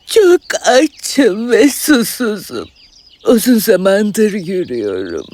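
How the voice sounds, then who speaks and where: A woman performs a frail, weary old wanderer from a storybook. Her high voice moves slowly in broad rises and falls, plaintive and imploring, with faint music behind. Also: darkish tone, heavily acted. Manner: slow, plaintive. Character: weary, hungry, pleading old beggar woman. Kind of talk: children's fairy tale audio acting.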